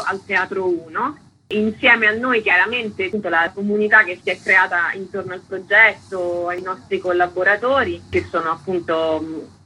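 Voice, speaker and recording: A woman's voice: medium pitch, bright, forceful tone. A woman talking spontaneously as an interviewed theatre artist on the radio. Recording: clearly noisy background.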